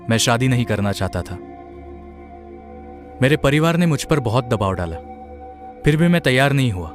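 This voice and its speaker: A man's voice: mid-range voice, close to an everyday voice. Man playing a heartbroken young groom. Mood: remorseful. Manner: earnest, heavy-hearted storytelling. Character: young groom haunted by past grief. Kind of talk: first-person story narration.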